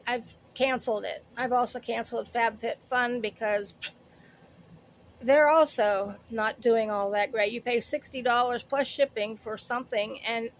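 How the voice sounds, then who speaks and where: A woman in her late fifties chatting casually through a shopping haul, rambling and interrupting herself as she goes. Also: fairly high pitch. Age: late 50s. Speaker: haul vlogger. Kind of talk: casual product haul chat.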